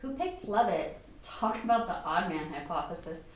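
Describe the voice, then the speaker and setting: A woman's voice: lowish voice, lively intonation, moderate pace, recorded from a distance, slight background noise, close to an everyday voice. A woman acting in an amateur radio play as a blunt new team member with a curt, questioning manner. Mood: curt, skeptical. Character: blunt, questioning female newcomer on a science team. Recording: echoey room, off-mic, faint music.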